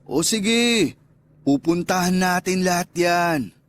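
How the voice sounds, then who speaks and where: A man's voice: sits high, lively intonation, takes it slowly, fairly bright tone, faint music behind, full character voice. A man playing an indulgent, smitten sugar daddy in a Filipino radio love drama. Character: indulgent older lover, restaurant owner, smitten sugar daddy.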